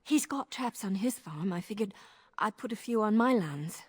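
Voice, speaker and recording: A woman playing a worried, hesitant village woman in a video game, speaking haltingly. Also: medium pitch, expressive, breathy edge, no room sound. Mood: anxious.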